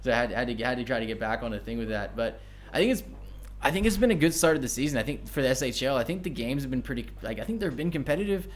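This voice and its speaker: A man's voice: mid-range voice, racing. A man hosting a podcast, chatting casually and thinking aloud as he rambles. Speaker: podcast host. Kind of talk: casual chat.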